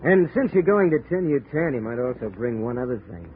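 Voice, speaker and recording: A man's voice: mid-range voice, lively intonation, brisk, a little hiss or hum, natural voice. An actor playing a blunt male boat captain who speaks in a terse, businesslike way, in 1940s radio acting. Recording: tinny old radio broadcast.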